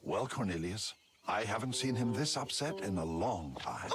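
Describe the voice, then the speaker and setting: Dignified, expressive cartoon father king. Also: on the low side. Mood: reassuring, composed. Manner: measured, earnest, formal diction. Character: dignified, kindly father and ruler in a children's cartoon. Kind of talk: animated series voice acting. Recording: music under the voice.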